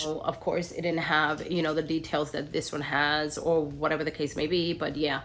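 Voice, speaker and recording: A middle-aged woman vlogging in a casual, rambling chat, sounding nasal as she gets over the flu. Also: low-pitched. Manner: rambling, many ums and restarts.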